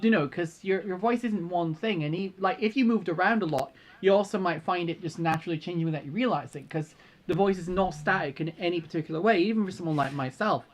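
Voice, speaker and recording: Co-host, medium pitch, casual thoughtful advice, kinda feeling out the words, lots of tag questions. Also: fast talker. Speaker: co-host giving feedback.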